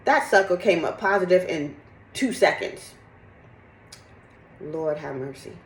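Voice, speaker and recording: A middle-aged woman with a slightly raspy, congested voice, chatting candidly and casually in a rambling personal vlog. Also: on the low side, lively intonation, measured pace, roomy sound, a little hiss or hum, rough recording. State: sick with congested cough. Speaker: fitness vlogger. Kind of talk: casual chat to camera, personal update.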